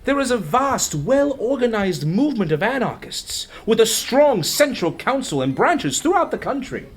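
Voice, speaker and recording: A man's voice: very high voice, very animated, normal speed, slightly rough, exaggerated voice. A man playing a zealous undercover police recruiter in a radio play, with an emphatic, melodramatic delivery. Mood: zealous, urgent.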